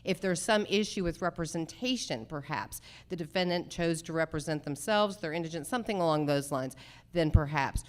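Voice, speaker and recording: A woman candidate for judge speaking formally at a forum, deliberate, articulate and emphatic. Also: low voice. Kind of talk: formal forum answers, measured campaign speech.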